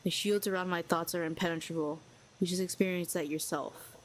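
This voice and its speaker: A proud telepathic villainess, performed by a woman in an audio roleplay, speaks in a cool, sardonic, needling way. Her voice sits a little low. A faint hiss runs under the recording. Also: fairly even intonation, a little fast, rough recording. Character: proud telepathic female villain, cynical, lonely.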